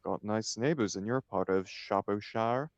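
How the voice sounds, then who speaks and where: A man's voice: fairly low pitch, a little slow, no room sound, dull audio. A man reading the part of a flippant, idle upper-class bachelor in a stage comedy, with an arch, mock-serious declamatory manner. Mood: breezy, arch.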